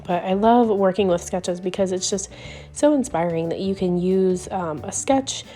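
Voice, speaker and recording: A woman hosting a scrapbooking video, talking casually over her crafting with a hoarse voice that is giving out from illness and lots of ums and little laughs. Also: medium pitch, normal speed, dark tone, faint music behind. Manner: rambling, chatty, frequent ums and little laughs.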